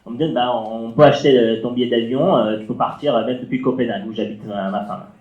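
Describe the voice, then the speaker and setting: Halting Afghan-born man in an echoey hall. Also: mid-range voice, fairly even intonation. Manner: halting, many fillers and restarts, non-native French phrasing. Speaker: journalist speaking on a panel. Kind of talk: personal testimony at a round table. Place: library auditorium. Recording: echoey hall, dull lo-fi sound.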